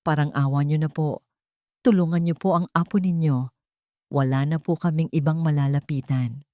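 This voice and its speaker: Woman narrator as young mother, desperate, humble, pleading, slightly low voice, dry recording, audio drama. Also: steady tone, moderate pace, warm tone, dry recording, natural voice. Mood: begging. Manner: pleading, respectful.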